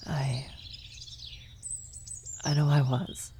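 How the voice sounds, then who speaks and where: A woman playing a worried, tender vampire sorceress in an ASMR fantasy roleplay, speaking softly and haltingly, often near a whisper. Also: rumbling low, little pitch movement, slight background noise, exaggerated voice. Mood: gentle, guiding. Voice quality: soft, breathy, often slipping into whisper. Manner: halting, hesitant, gentle. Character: worried female vampire blood sorcerer, tender, guilty lover. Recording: outdoor birdsong and ambience behind. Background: birdsong, nature ambience effects.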